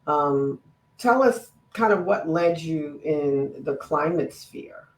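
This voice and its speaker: A woman's voice: deep voice, expressive, slow, echoey room. A woman hosting an online conversation, asking questions with many fillers and stumbling over words, on a video call. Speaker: interviewer, climate equity director.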